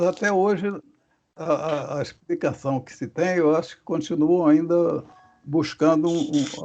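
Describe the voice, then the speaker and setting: Gravelly slow-talking man on a dull call. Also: a little high, darkish tone, a little hiss or hum. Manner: halting, searching for words with many restarts. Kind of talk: casual religious study discussion.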